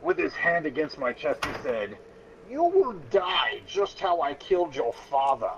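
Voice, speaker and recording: A man's voice: fairly high pitch, very animated, measured pace. A man reading a story aloud in a mock-serious, dramatic way, often close to breaking into laughter. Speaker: reader of a childhood story. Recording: dull lo-fi sound, clear background noise.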